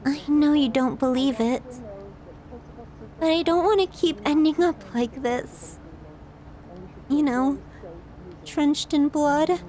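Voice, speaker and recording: A woman plays a lovesick young yandere woman in an ASMR audio drama, clinging and possessive. She speaks slowly and intimately to a silent lover, fragile yet quietly menacing. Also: sits high, low-quality recording. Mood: tender, confessional.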